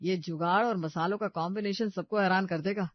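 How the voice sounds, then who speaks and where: Man playing encouraging husband, high voice. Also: steady tone, a little fast, no echo, dull audio, natural voice. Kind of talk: story dialogue.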